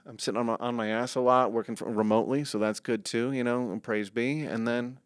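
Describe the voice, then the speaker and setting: A man's voice: medium pitch, fairly even intonation, brisk, smooth, no room sound. A man from the US West Coast, a comedian guest chatting on a podcast in a studio, rambling and restarting his sentences. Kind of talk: casual podcast chat.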